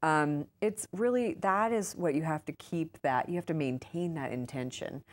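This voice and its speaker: Low voiced woman being interviewed, casual and chatty, tells little stories and acts out what people say. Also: normal speed. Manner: informal, acts out quoted remarks. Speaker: interview guest, author and urban farmer. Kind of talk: conversational interview answers, anecdotal.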